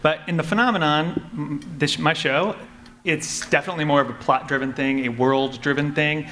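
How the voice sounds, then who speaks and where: A man speaking as a panelist, explaining his creative craft in a measured way, thinking aloud as he goes. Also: on the high side, steady tone, a bit bright. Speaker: panelist, audio drama creator. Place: convention panel room. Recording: noisy live convention room.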